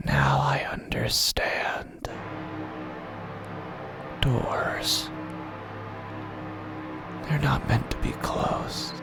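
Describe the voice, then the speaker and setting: Man acting a hushed, creaky-voiced madman in horror. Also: mid-range voice, sing-song, over loud music, voice pushed up, exaggerated voice. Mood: manic, eerie revelation. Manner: slow, halting, hushed, often breaking into whispers. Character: unhinged man narrator descending into door-obsessed madness. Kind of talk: first-person horror audio drama narration.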